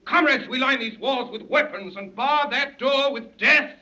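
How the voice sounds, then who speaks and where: Piercing, fiery militant firebrand. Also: male voice, very high-pitched, measured pace, bright, forceful tone, heavily acted. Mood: scornful, defiant. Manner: scornful, emphatic, declamatory. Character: fiery militant firebrand haranguing anarchist comrades. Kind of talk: 1930s radio drama oratory. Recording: muffled old 1930s radio recording.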